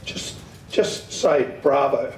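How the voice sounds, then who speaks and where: An older man giving an instructional talk, deliberate and emphatic, putting questions to his listeners. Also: mid-range voice, slight background noise. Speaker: speaker giving an amateur radio talk. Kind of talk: instructional lecture with questions to the audience. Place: community centre meeting room. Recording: echoey hall, distant microphone.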